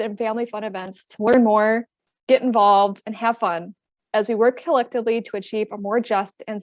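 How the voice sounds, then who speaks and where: Webinar host, female, higher voice; welcoming, reads from notes with filler words; muffled dry call audio. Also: no room sound. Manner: welcoming, reads prepared remarks with frequent "um"s. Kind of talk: hosting an online panel. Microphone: computer mic on a video call.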